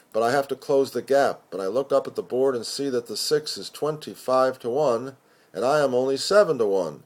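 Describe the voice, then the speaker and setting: A man reading a narrative poem aloud in a measured storytelling manner with pauses. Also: medium pitch, a little mellow. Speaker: poetry reader. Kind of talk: dramatic spoken-word poetry reading.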